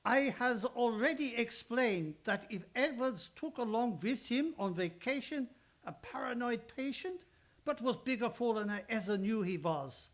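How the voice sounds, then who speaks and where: A man's voice: very high-pitched, expressive, unhurried. A man playing a kindly, grumbling old psychoanalyst who speaks broken English in a live old-time radio play. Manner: broken English with dropped articles.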